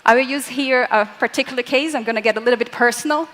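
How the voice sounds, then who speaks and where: A woman's voice: fairly high pitch, fast, fairly bright tone. A young woman from Latin America, speaking English as a second language, gives an earnest, explanatory conference talk and addresses the audience directly. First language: not English. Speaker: physicist giving a conference talk. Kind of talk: conference presentation. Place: large conference hall, on stage. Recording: hall reverb from a large room.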